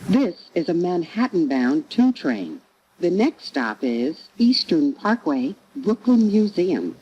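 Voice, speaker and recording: A woman reading scripted transit announcements as a train announcer, in measured, separate phrases. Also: lowish voice, sing-song, warm tone, lo-fi sound. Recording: recorded train announcement with clear noise.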